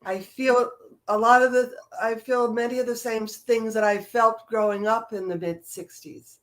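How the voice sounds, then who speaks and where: A woman's voice: a little high, fairly even intonation, moderate pace, fairly bright tone. A woman in her sixties answering interview questions reflectively, hesitating as she searches for words. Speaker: stage play director, interview guest.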